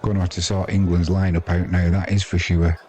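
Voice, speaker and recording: Deep-voiced Englishman chatting cricket. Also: little pitch movement, brisk, a little mellow. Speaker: live sports stream commentator and host. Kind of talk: casual ad-libbed live cricket commentary and chat. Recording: crowd noise behind the voice.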